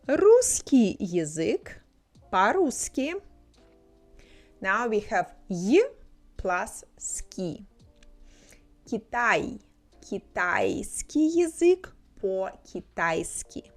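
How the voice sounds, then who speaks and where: Slow, careful woman teaching a language. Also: medium pitch, big pitch swings, soft background music. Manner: slow, carefully spelled-out explanations. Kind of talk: scripted lesson narration.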